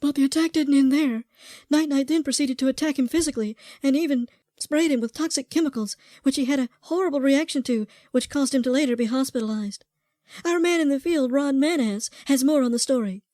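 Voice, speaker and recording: A woman's voice: high-pitched, fairly quick, mellow, no echo, studio quality, lightly acted. A woman playing a composed local news anchor reading stories in a parody newscast. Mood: matter-of-fact.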